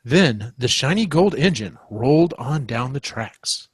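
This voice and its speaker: A man's voice: mid-range voice, expressive, a little slow, husky, no room sound, clean studio sound. A man, a podcast co-host, reading a comic parody storybook aloud.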